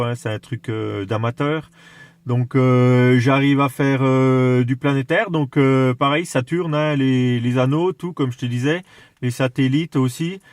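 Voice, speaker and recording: A man in a casual radio chat, explaining things with enthusiasm while haltingly searching for his words. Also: mid-range voice, clean tone. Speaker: amateur radio operator.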